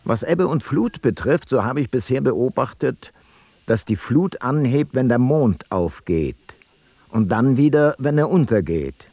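An actor playing an ancient explorer who sets down observations of nature in a formal, methodical radio play narration. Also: male voice, mid-range voice, animated, unhurried, warm tone, no room sound. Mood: studious.

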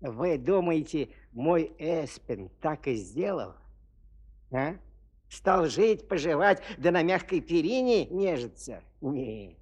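An actor playing a folksy storyteller who confides in young listeners with rhetorical questions in a children's audio play. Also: male voice, fairly high pitch, big pitch swings, takes it slowly, darkish tone, husky, full character voice. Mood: confiding, playful. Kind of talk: theatrical fairy-tale narration.

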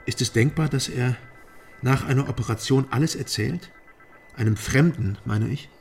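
An actor playing a troubled, guarded private detective in a German radio drama, asking questions in a hesitant, probing way. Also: male voice, mid-range voice, moderate pace, gravelly, faint music behind, close to an everyday voice.

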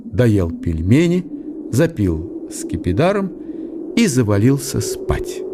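A man reading literary narration for an audio drama in a measured, storytelling manner. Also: fairly low pitch, noisy background.

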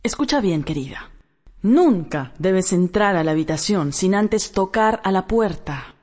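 An actress playing a dreamy, discontented young wife, genteel and theatrical, in a radio drama. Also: female voice, medium pitch, animated, unhurried, darkish tone. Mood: haughty.

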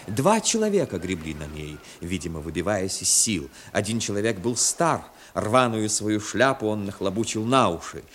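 A man narrating a literary story in a 1960s radio play, expressive and measured. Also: lowish voice. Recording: 1960s radio broadcast with faint noise.

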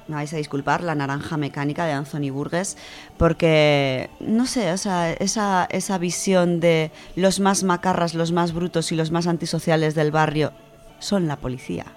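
A woman from co-hosting a radio show, chatting casually and reading a story, animated, with many fillers. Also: low voice, normal speed, soft background music. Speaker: radio co-host presenting a literature section.

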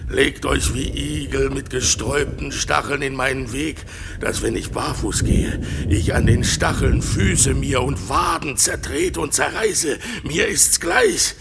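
Caliban, a gravelly cursing island monster. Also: male voice, medium pitch, unhurried, heavy background noise. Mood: defiant, cursing. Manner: theatrical, swinging between growled curses and panicked cries. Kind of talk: German radio play acting of classic verse.

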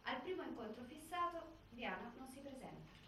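A woman's voice: high voice, expressive, moderate pace, bright, forceful tone. A woman narrating a scripted documentary theatre piece in a very echoey hall. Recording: very echoey hall, distant, faint noise.

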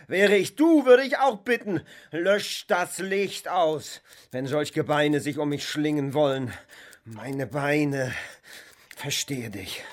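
An anguished, self-loathing man in his thirties in a theatrical radio drama. He speaks slowly and haltingly in a husky voice, brooding and bitter. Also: medium pitch. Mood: self-mocking, agitated. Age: 30s.

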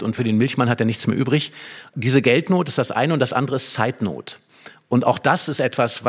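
A man with a mid-pitched voice talks as a literary expert in a conversation. He explains ideas step by step, offering illustrations and checking in with short tag questions.

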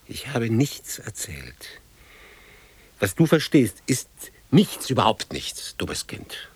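Husky, low, brooding older man. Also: very animated, whispered, full character voice. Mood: bitter, scornful. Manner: halting, fragmentary, gravely storytelling. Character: weary, brooding older man, haunted by war. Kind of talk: radio drama dialogue.

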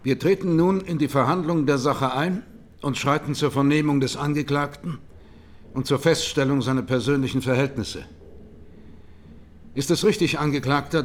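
An actor playing a methodical male judge who questions in a formal, measured way in a courtroom radio drama. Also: mid-range voice, a little hiss or hum, close to an everyday voice. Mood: formal, neutral.

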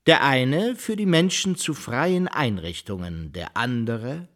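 An actor playing a self-satisfied, coolly provocative intellectual lecturing pompously in a German radio drama. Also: male voice, medium pitch, animated, slow pace, a bit bright. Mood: coolly emphatic.

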